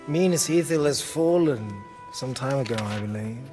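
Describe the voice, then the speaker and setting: A man's voice: mid-range voice, expressive, normal speed, natural voice. A man playing a dry, boastful male mercenary leader in a video game cutscene. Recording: cutscene with music behind.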